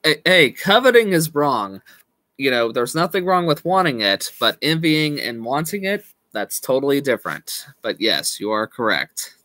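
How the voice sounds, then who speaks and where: A man in his thirties chatting casually with viewers on a live stream from a small room at home, slowly losing his voice and speaking in halting restarts. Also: medium pitch, very animated, bright, forceful tone, no room sound. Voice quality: slow, halting, with frequent restarts and laughs. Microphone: webcam at desk.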